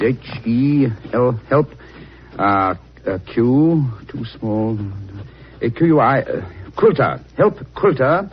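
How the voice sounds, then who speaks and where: An actor playing an eager, self-assured English gentleman detective, precise and theatrical, in a 1940s radio drama. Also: medium pitch. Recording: muffled, hissy vintage radio recording.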